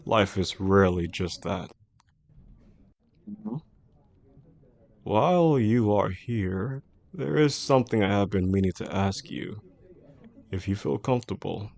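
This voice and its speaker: Casting: male actor as a composed male chief executive for intimate roleplay audio, low-pitched, measured and formal, protective with a possessive undercurrent. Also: gravelly, a little mellow. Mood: composed, approving. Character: composed, caring male CEO boss, secretly obsessive. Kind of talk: intimate scripted roleplay audio addressed to the listener.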